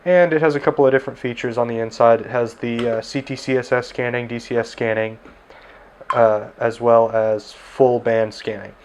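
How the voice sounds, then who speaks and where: A man's voice: mid-range voice, fairly even intonation, normal speed, a little mellow, a little hiss or hum. A man giving a casual, easygoing review of a handheld radio at his desk, with plenty of filler words. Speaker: tech reviewer, ham radio operator. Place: indoors at a desk.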